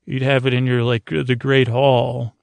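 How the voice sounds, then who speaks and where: A man hosting a bedtime podcast in a rambling, halting, goofy monologue, repeating and stammering as he drifts along, with a creaky, gravelly voice. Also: mid-range voice, little pitch movement, dry recording. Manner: goofy, oddball. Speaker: podcast host.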